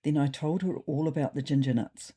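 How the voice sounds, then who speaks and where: A woman's voice: deep voice, fairly quick, warm tone, breathy edge. A woman voicing a wry, self-important male cat telling his diary in a dry, mock-serious way for a comedy story.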